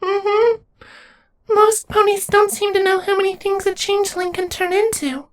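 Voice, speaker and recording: A young changeling nymph speaks in a soft, very high falsetto, acted out by a male narrator. She is timid and hurt, yet has a defiant streak. It suits a fantasy audiobook. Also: fairly even intonation, normal speed. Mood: timid, explaining.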